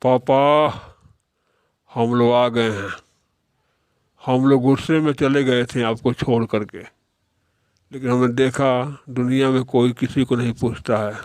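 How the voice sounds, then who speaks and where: A man's voice: mid-range voice, steady tone, a little slow, long pauses, darkish tone, dry recording, clean studio sound, natural voice. A man voicing an idle, proud young son-in-law in a moral-story cartoon, complaining in firm statements. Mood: resigned.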